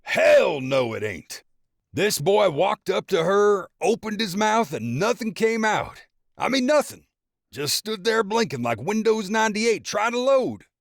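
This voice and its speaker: A man voicing a boastful father loudly mocking his son in front of the family. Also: high voice, big pitch swings, unhurried, creaky, no room sound, studio quality, voice pushed up, exaggerated voice. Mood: gleeful mocking. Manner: loud, mocking, laughing storyteller. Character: boastful teasing middle-aged father, loud.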